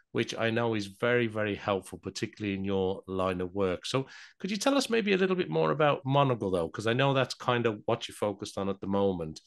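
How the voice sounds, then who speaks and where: A man hosting an interview podcast, with a very deep, low voice. He speaks in a welcoming way, framing long questions for his guest and lifting his voice as he asks them. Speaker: podcast host.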